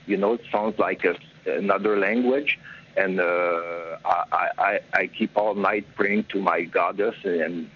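A man from Montreal calls in to a radio show with a low, husky voice. He stammers and keeps restarting his sentences, and the line sounds muffled. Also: fairly even intonation, a little slow. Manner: hesitant, stammering, many restarts. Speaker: caller. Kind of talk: phone call-in story.

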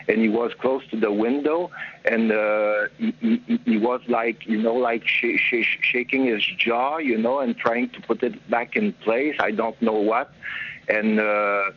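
Husky, stammering man from Montreal. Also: mid-range voice, unhurried, phone-quality sound. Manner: hesitant, stammering, many restarts. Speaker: caller. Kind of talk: phone call-in story.

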